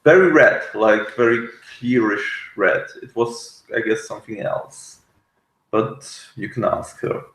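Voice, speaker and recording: Male podcast co-host, unhurried and halting, conversational, recorded in a roomy space. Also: fairly low pitch, fairly bright tone. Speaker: podcast co-host reviewing a TV series. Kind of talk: casual review chat, partly reading notes aloud.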